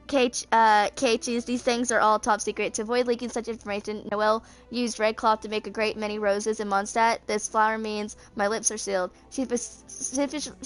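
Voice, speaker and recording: A woman's voice: fairly high pitch, smooth. A woman in her twenties reading story text aloud in an amateur way, stumbling over words and correcting herself. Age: 20s. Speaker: video creator reading game lore aloud.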